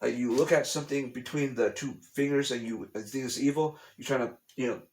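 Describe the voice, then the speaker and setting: A man's voice: medium pitch, a little fast, darkish tone, a little hiss or hum. A man talking casually to his camera at home, rambling and repeating himself, bluntly dismissing conspiracy theories. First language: English. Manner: rambling, repeats phrases often. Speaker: vlogger. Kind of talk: casual explanatory monologue. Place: at home, room with a TV.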